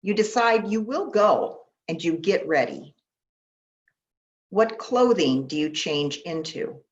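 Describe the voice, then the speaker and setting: A woman pastor leading a guided meditation in an unhurried, gentle manner with long pauses, speaking in short instructions. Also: lowish voice, expressive. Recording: dull, lo-fi sound.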